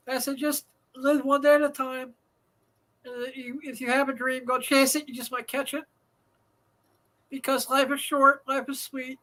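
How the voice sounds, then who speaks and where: High, bright-voiced man rambling profanely. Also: poor audio. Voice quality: slightly nasal. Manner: rambling, profane, many fillers and restarts. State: dry throat. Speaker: host. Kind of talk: casual live show monologue.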